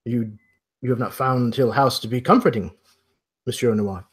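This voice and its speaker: A man playing an aging, courteous host of a mansion in a tabletop game, speaking in a halting, apologetic and formally polite way. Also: medium pitch, slightly rough, close to an everyday voice. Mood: genial. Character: aging, courteous, flustered old mansion host in plaid suit. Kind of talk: improvised roleplay dialogue.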